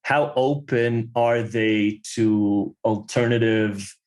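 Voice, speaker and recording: A man's voice: on the low side, steady tone, slow pace, darkish tone. A man hosting a podcast, talking in a casual, hesitant way as he searches for words. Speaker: podcast host. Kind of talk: casual conversation.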